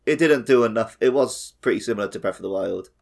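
A man co-hosting a gaming podcast, giving his opinions in an animated, casual way. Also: on the low side, fast, creaky. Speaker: podcast co-host. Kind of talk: casual opinionated game chat.

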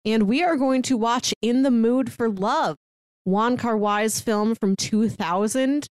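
A woman's voice: fairly high pitch, slow, a little mellow, clear, resonant tone, no echo, clean studio sound. A woman co-hosting a podcast in casual, conversational style. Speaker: podcast co-host.

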